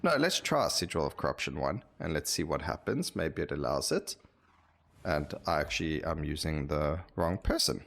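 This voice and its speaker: Low-voiced man doing casual game commentary. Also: lively intonation, normal speed, vocal fry, a bit bright. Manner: rambling, thinking aloud while playing. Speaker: gameplay video host.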